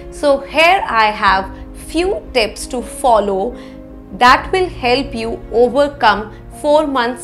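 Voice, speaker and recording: A woman in her thirties with an Indian accent presents an explainer lesson to camera, phrasing things deliberately and with emphasis. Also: fairly high pitch, a bit bright. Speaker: parenting educator, presenter. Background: background music.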